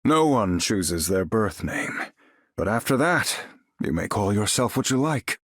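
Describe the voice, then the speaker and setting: A proud wizard hero for a video game, super theatrical n formal, voice swings up and down a lot, dry studio sound. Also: male voice, fairly low pitch, sing-song, unhurried, no room sound. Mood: dry, conversational. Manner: grandiose, formal, incantatory delivery. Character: proud, battle-ready sorcerer supreme, grandly theatrical hero. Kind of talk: video game character voice lines.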